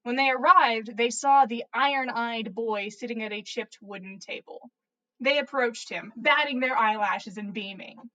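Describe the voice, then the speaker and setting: A woman's voice: on the high side, normal speed, sharp tone, low-quality recording. A woman reading fan fiction aloud as a podcast host, narrating with playful, theatrical emphasis. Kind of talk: dramatic story narration.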